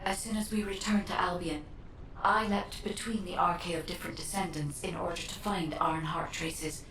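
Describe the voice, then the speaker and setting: An actress playing a solemn, otherworldly spirit guide in a video game, speaking gravely and in measured phrases. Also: female voice, fairly even intonation, fairly bright tone. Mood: grave, pained. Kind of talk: video game cutscene acting. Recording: echoey, distant, faint background rumble.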